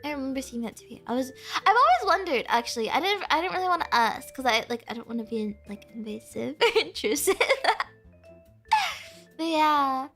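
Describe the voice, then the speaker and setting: A woman's voice: sits high, very animated, moderate pace, a bit bright, faint music behind. A young woman, a native Spanish speaker with an American Valley girl accent, chatting casually with her stream and reading chat, giggly and full of "like". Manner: chatty, filler-heavy "like", giggly, bubbly.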